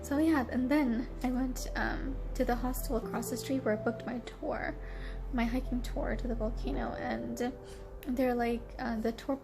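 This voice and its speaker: A woman's voice: a little high, fairly even intonation, normal speed, vocal fry, over music. A young woman with a stuffy, congested nasal voice, chatting casually in a travel vlog, rambling and giggly with lots of fillers. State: congested from allergies. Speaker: travel vlogger. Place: hotel room.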